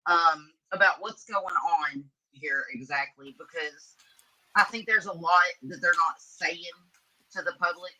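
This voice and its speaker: Very bright, sharp-voiced woman hosting crime chat. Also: fairly low pitch, rough recording. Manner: conversational, directly addressing listeners. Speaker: host of a true crime live stream. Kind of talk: casual true crime discussion.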